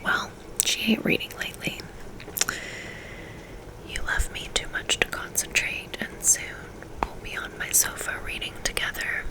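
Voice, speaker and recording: A woman reading a first-person novel aloud in a soft, intimate whisper, in a steady ASMR style. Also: lowish voice, creaky, rough recording. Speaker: audiobook reader.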